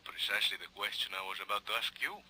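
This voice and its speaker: Suave Latin police lieutenant. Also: male voice, on the low side, bright, forceful tone, breathy edge, exaggerated voice, rough recording. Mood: silkily threatening. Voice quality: formal, measured, silkily menacing. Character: shrewd, polite Mexican police lieutenant, middle-aged man. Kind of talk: 1950s radio drama acting.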